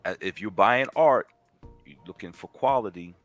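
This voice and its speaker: A man's voice: fairly low pitch, normal speed, fairly bright tone, faint music behind. A man chatting casually on a live stream about collectible statues, rambling and enthusiastic with lots of fillers. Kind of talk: casual chat.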